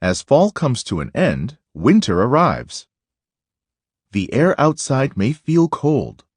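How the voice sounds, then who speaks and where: A man narrating a children's nonfiction book aloud in a deliberate, instructive way. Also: medium pitch, big pitch swings, slightly rough, dry recording, clean studio sound.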